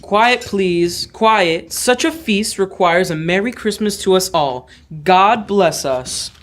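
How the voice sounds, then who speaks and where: A man's voice: sits high, slow, a bit bright, a little hiss or hum. A young man playing a kindly, hopeful father in an old-time radio drama. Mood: fond, hopeful. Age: teens.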